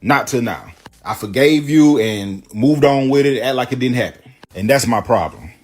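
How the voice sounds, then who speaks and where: A man's voice: mid-range voice, lively intonation, moderate pace, darkish tone. A man telling a storytime straight to his viewers, emphatic and defensive, rebutting claims point by point. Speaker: storytime vlogger. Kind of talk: casual storytime to camera.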